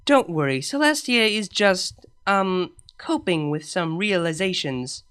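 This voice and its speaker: Woman playing a young pony princess, soothing, casual, slightly hesitant, bright tone, comic storybook. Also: lowish voice, animated, measured pace, smooth. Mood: consoling.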